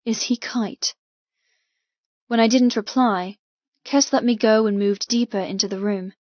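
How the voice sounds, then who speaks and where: A woman reading first-person fiction as an audiobook narrator, with dramatic, measured storytelling. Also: fairly high pitch, steady tone, a little mellow, dry recording, highs cut off.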